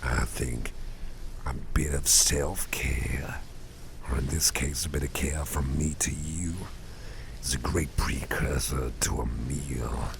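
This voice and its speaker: Very deep, slow, soft-spoken caring boss played by a man. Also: steady tone, a little hiss or hum, full character voice. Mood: soothing, attentive. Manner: soft, murmured, breathy, rambling and reassuring. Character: caring, easygoing male boss, gently soothing and chatty. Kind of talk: ASMR roleplay, soft personal-attention talk.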